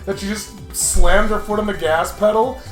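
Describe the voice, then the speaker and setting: A young man hosting a gaming show, chatting casually and telling animated, jokey stories with frequent laughter. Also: high-pitched, normal speed, soft background music. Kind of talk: casual let's-play commentary with dramatic read-alouds.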